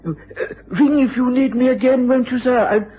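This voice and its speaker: An actor playing a nervous, apologetic male manservant in a radio drama, deferential and halting, stammering over his words. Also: very high-pitched, fairly even intonation, slight background noise. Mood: shaken, apologetic. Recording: muffled radio drama recording.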